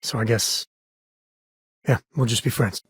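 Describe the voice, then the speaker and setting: A man acting as a wry, warm-hearted space explorer and dad in a video game, with a raspy voice and a folksy, dry manner. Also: mid-range voice, a little slow, no echo. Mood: earnest.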